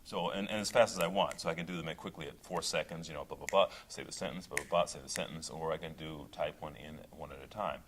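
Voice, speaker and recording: Very deep-voiced man in casual interview. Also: quick pace, hardly any pauses, background noise. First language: English. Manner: thoughtful, with many restarts and fillers. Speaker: opera singer, interview guest.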